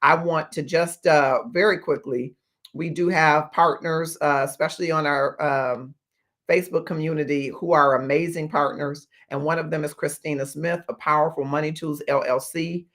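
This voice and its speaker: A man in his fifties hosting a motivational livestream as a life coach, speaking in deliberate, emphatic phrases with pauses. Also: on the high side, fairly even intonation, fairly bright tone. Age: 50s. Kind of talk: motivational livestream talk to viewers.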